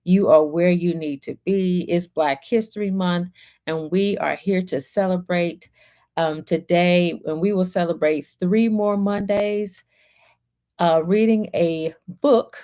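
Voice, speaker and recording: Woman, storytime host and reader. Soft-edged mellow tone, unhurried pace, measured phrases with pauses. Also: on the low side. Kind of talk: gentle guided centering and picture book read-aloud.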